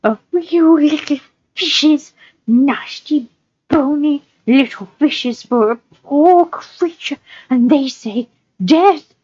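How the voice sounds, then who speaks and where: A woman voicing a wretched, cringing creature in a storybook reading, whimpering and pleading in drawn-out, repetitive phrases. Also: sits high, a little mellow, dry recording, lo-fi sound, voice pushed up. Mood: pitiful, whining. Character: wretched whining creature, cringing, hungry and evasive. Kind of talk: audiobook-style character reading.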